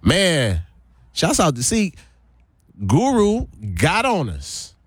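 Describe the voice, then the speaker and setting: A man's voice: a little high, huge pitch swings, takes it slowly, breathy edge, no room sound, clean studio sound. A man hosting a radio show in a hyped, slangy style, repeating catchphrases as he banters and makes announcements. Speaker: radio host. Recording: music bed under the voice. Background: music.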